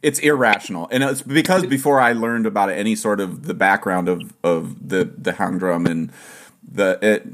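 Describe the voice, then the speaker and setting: A man hosting a casual interview, a fellow musician, speaking with halting restarts and brief backchannels. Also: lowish voice, fairly bright tone. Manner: conversational, short backchannels, halting starts. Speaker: interviewer, fellow steelpan player.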